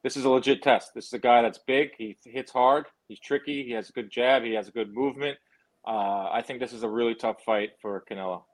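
Young male interview guest, mid-pitched, confident and emphatic. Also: steady tone, fairly quick. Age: young adult. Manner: confident, emphatic short statements. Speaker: boxing guest. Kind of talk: interview answers.

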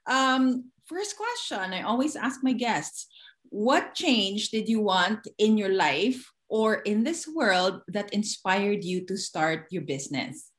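A woman in her forties hosting an interview podcast, bubbly and quick to laugh. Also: medium pitch, lively intonation. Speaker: podcast host. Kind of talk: casual interview chat.

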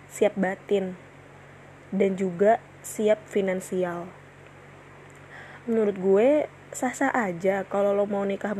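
A woman's voice: mid-range voice, dark tone, slight background noise. A woman in her twenties hosting her own casual solo podcast, chatting in slang with many fillers and restarts. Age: 20s. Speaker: podcast host.

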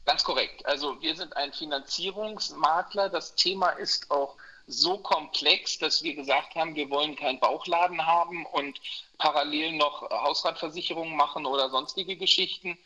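A chatty man in his forties from Berlin tells his story in a relaxed interview, full of fillers and restarts. His voice sits a little high and sounds very bright. The recording is muffled and lo-fi. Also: normal speed. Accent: Berlin German. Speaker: mortgage broker guest.

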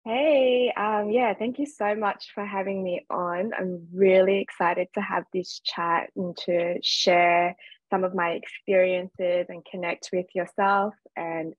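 A woman with an Australian accent talks as a podcast guest, sharing her background in a candid, reflective way. Her voice sits on the low side. The recording is dry, with no room sound. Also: steady tone, slow, no echo, highs cut off. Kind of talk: casual interview conversation.

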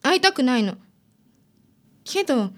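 A woman's voice: high-pitched, sing-song, unhurried. A woman playing a stubborn, wounded teenage daughter in a radio drama. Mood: pained, defiant.

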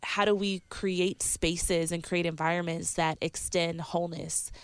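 A woman's voice: on the low side, fairly even intonation, unhurried, clear, resonant tone, dry recording. A woman guest on a podcast, talking about her work and mission in a casual way, slightly congested and sniffly, with frequent "like" and "um" fillers. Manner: warm, reflective, many "like" and "um" fillers.

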